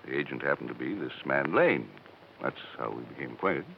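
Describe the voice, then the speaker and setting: Evasive, easily affronted middle-aged male broker, very deep, 1950s radio drama, noisy old recording. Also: animated, a little fast, gravelly, muffled recording, heavily acted. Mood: guarded, casual. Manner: guarded, hesitant, evasive.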